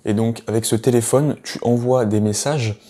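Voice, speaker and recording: A man's voice: medium pitch, steady tone, moderate pace, dark tone. A man giving a casual video lesson as an English teacher, talking straight to the viewer with many hesitations. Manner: chatty, addresses viewer directly, many hesitations.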